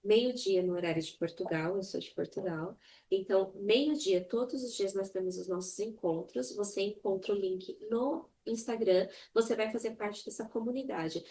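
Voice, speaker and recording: A woman's voice: medium pitch, normal speed, dark tone, a little hiss or hum, poor audio. A woman speaking as a mentor and podcast guest, exhorting the listener directly with emphatic repetition. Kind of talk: motivational conversational talk.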